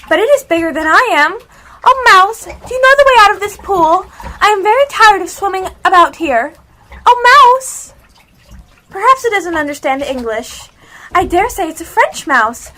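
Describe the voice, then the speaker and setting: A woman's voice: extremely high pitch, pushed and bright, exaggerated voice. A female performer playing a curious, polite, chattering young girl in an amateur radio play, earnestly talking to herself.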